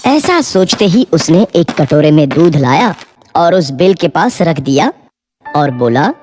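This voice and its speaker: A woman's voice: deep voice, very animated, a little slow, a little mellow. A woman narrating a scripted moral story for children as a storyteller. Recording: music behind the voice.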